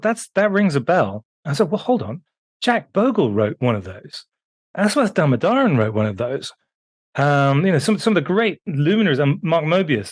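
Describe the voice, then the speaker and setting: A British man in his fifties, a guest author on a remote call, telling his story in a lively way that jumps up in pitch for emphasis. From Britain. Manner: animated, pitch jumping up on emphasis, frequent restarts. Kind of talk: conversational interview storytelling.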